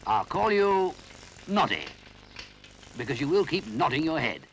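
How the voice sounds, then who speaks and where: A man's voice: fairly high pitch, very animated, measured pace, a bit bright, a little hiss or hum, pitched above the performer's own voice, heavily acted. A man voicing a grumpy, fussy brownie who speaks crossly but means well. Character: brisk grumpy elderly brownie, kindly underneath.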